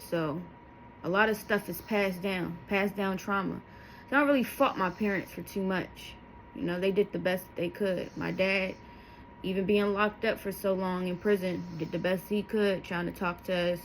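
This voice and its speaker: A woman's voice: mid-range voice, slight background noise, rough recording. A woman of thirty telling her life story to her viewers, candid and emotional, rambling with asides as she goes. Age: 30s. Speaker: vlogger. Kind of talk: personal testimony to camera.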